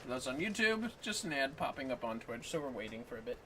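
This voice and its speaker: A man's voice: mid-range voice, big pitch swings, fast, bright, forceful tone, a little hiss or hum. A man chatting casually on a livestream and reading game text aloud with playful, exaggerated delivery. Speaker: livestream co-host. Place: home office. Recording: distant mic with music behind. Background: music.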